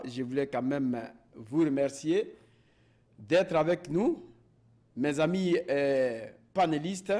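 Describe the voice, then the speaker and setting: A man's voice: medium pitch, darkish tone. A man hosting a panel debate, introducing guests with many hesitations. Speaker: talk show host.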